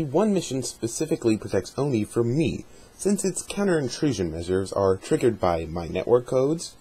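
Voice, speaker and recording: Deep, husky man reading a journal. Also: a little mellow, low-quality recording. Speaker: amateur reader hosting a dramatic reading series. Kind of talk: dramatic reading of a journal with asides.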